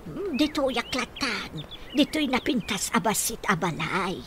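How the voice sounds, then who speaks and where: A woman's voice: fairly high pitch, very animated, unhurried, fairly bright tone, slight background noise. An actress playing a confused, forgetful old grandmother in a radio drama, hesitant and questioning. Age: older. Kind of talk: Ilocano radio drama acting.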